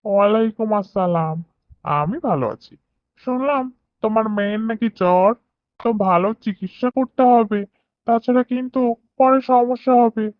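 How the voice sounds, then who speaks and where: Woman doing a calm village healer. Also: on the high side, moderate pace, dry recording, close to an everyday voice. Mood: advising. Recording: dull lo-fi distant dubbing.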